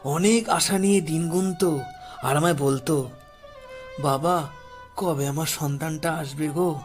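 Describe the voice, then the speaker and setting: A man acts out an old village grandfather, grave and wronged, in an audio horror drama. He speaks very slowly with halting pauses in a fairly high male voice, weighted with grief and accusation, over clear background music. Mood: sorrowful. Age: older. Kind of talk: audio horror story acting.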